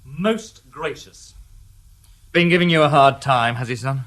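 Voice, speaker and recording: An actor playing a sardonic, weary detective inspector in a radio drama, full of dry sarcasm. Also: male voice, mid-range voice, measured pace, fairly bright tone, slight background noise, lightly acted.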